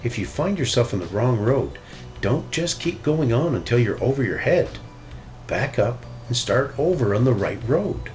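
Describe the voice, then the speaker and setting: Elderly bear grandpa, performed by a man: kindly, wise, country-folksy, animated intonation, children's story. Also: mid-range voice, moderate pace, faint music behind, natural voice. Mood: reassuring. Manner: folksy, teasing.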